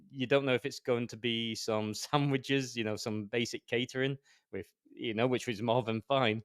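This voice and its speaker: A man's voice: mid-range voice, no room sound, clean studio sound. Yorkshire man telling a rambling story. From northern England. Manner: rambling, enthusiastic, frequent restarts. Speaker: podcast co-host. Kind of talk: casual storytelling.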